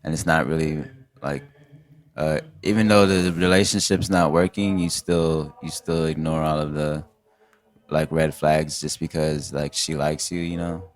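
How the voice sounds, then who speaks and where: A man with an extremely deep voice chats as a podcast co-host. He is casual and slangy, putting his thoughts together in loose, informal phrases. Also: steady tone, measured pace. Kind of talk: casual chat.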